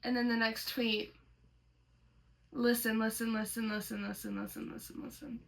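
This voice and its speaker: A woman's voice: on the high side, flat delivery, moderate pace, creaky. A woman reading a horror story aloud as host, slipping between dramatic reading and casual commentary.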